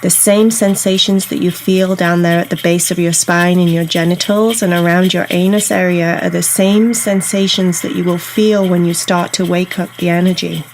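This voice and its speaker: A woman guiding a meditation in a soothing, unhurried, instructional way, leaving pauses between phrases. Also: fairly low pitch, steady tone, a little hiss or hum, rough recording. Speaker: meditation guide. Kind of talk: guided meditation narration. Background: soft ambient meditation music.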